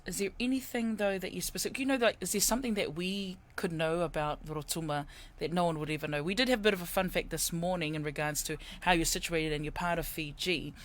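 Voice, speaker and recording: A morning radio host interviewing a guest on air, encouraging and quick to laugh. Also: mid-range voice, fairly bright tone.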